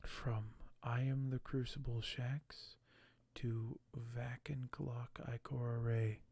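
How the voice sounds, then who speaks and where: Hushed ASMR man, gravelly and slow. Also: medium pitch, flat delivery, no echo. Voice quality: hushed, soft, often whispered. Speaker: ASMR video creator. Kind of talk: ASMR unboxing and reading aloud. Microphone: close microphone.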